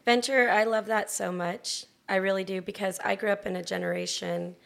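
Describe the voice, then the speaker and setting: A middle-aged American woman telling her story casually as an interview guest, chatty and halting as she gathers her thoughts. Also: mid-range voice, steady tone, gravelly. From Florida and Texas, then Long Beach, California. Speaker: interview guest, restaurant owner.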